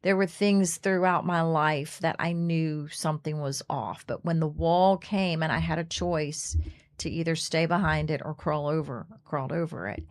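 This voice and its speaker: A middle-aged woman co-hosting a faith radio talk show, speaking earnestly and often restarting her sentences. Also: low-pitched. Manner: earnest, restarts often, acts out quoted speech. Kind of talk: casual faith discussion.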